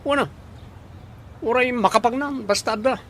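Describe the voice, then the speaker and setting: A shady, conspiring henchman in an Ilocano radio drama. The voice is strikingly high for a male and has an Ilocano accent, with faint noise around it. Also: moderate pace, a bit bright. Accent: Filipino, Ilocano. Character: shady high-voiced accomplice arranging a child abduction.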